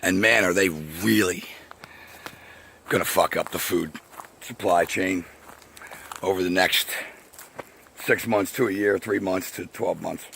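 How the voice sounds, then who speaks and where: A man with a raspy voice talks casually to camera outdoors, rambling, swearing, and laughing often as he goes. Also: sits low, fairly even intonation, measured pace, long pauses, fairly bright tone, a little hiss or hum. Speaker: vlogger. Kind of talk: casual outdoor video monologue. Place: outdoors by a path.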